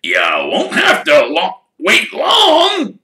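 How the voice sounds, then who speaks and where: A man voicing a huge, gruff, big-hearted gamekeeper with a loud, growling voice and hesitant fillers, read in an echoey room. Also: wildly swooping pitch, very slow, heavily acted. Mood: hearty. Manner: booming outbursts and hesitant fillers.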